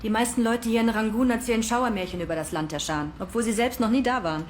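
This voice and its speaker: An actress playing a sociable, forthcoming Danish diplomat who chats as a neighbour in a period film. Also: female voice, on the high side, fast talker, a little hiss or hum, close to an everyday voice. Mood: explaining, candid. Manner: chatty, forthcoming. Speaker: film actress in a supporting part.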